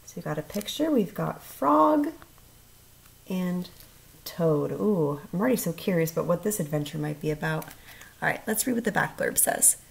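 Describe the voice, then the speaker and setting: A woman speaking as a first grade teacher in a children's read-aloud, expressive and putting questions to the listener. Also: fairly low pitch, creaky, rough recording.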